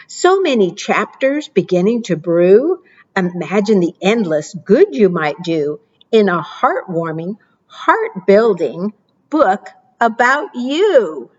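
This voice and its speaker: A woman librarian reading picture books aloud to preschoolers, with expressive, animated delivery, asking the children questions and praising their answers. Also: husky, dull audio. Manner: expressive, animated read-aloud with playful pitch jumps.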